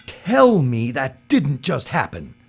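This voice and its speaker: An actor playing a hard-nosed, pragmatic captive worker in an audio drama, terse and urgent. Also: male voice, mid-range voice, wildly swooping pitch, slow pace, gravelly, breathy edge, faint music behind, full character voice. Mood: exasperated. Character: hard-nosed, pragmatic male captive worker keeping his partner alive. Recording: dull, muffled recording.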